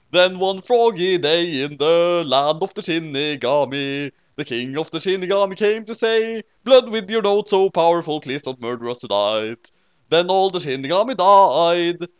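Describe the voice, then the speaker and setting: A man's voice: high-pitched, smooth, no room sound, muffled recording, pitched above the performer's own voice. Man putting on a menacing, boastful death spirit.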